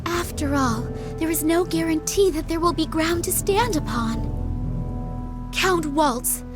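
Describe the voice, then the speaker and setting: A composed woman ruler, high-voiced and grave, pressing her case with calm conviction over clear orchestral music. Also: measured pace. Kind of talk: dubbed video game cutscene.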